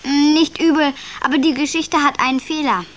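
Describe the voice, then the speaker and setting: A woman's voice: high-pitched, fairly even intonation, moderate pace, fairly bright tone. A female performer playing a cheeky, matter-of-fact young grandchild in a radio play. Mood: cheeky, confident.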